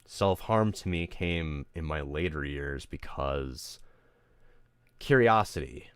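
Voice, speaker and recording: A man's voice: rumbling low, lively intonation, takes it slowly, smooth, no echo. A streamer man ranting candidly to his live audience, rambling, emotional and full of swearing. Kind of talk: candid venting on a livestream.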